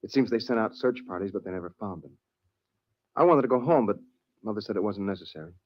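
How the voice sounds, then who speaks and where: A man's voice: on the low side, animated, fast talker, no room sound. An actor playing an earnest young man who tells his own story reflectively in 1940s radio drama style. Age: young adult. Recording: dull old radio recording.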